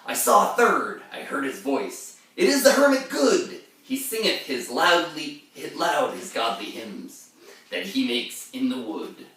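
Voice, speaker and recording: A man's voice: fairly high pitch, very animated, unhurried, pushed and bright, pitched above the performer's own voice, heavily acted. A man voicing a dramatic pirate who declaims an old sea poem theatrically. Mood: exultant, loud. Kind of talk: dramatic verse recitation. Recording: very echoey room, far from mic.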